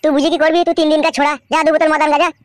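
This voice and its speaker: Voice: sits high, flat delivery, very fast, no echo, clean studio sound, natural voice. A voice actor playing a cocky, scheming, bossy village man in a comedy cartoon, crude and boastful. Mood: smug, bossy. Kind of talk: amateur Bengali comedy cartoon dialogue.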